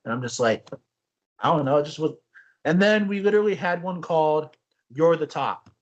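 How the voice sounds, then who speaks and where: A young man co-hosting a casual podcast, telling stories in a rambling, animated way with frequent restarts. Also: on the high side, normal speed, highs cut off. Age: young adult. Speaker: podcast co-host. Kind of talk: casual chat.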